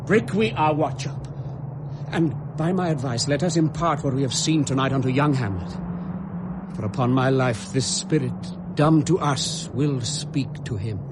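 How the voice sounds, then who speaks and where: An actor playing an educated, steadfast gentleman in a classical Shakespearean radio drama, speaking formal declamatory verse. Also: medium pitch, normal speed, background noise, natural voice. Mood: grave, awed. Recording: 1970s radio drama with background sound effects.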